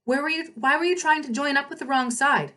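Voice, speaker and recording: Woman acting as teenage girl adventurer, storybook character, raised pitch, indignant, bossy, sarcastic. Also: brisk, pushed and bright, recorded from a distance. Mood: sharp, sarcastic. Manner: emphatic, sarcastic.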